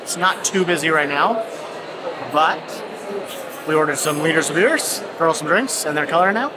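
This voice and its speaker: A man's voice: a little high, normal speed, noisy background. A middle-aged man, talking casually to a camera held at arm's length as a travel vlogger. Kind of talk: casual vlog narration to camera. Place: on location around a city, indoors and outdoors.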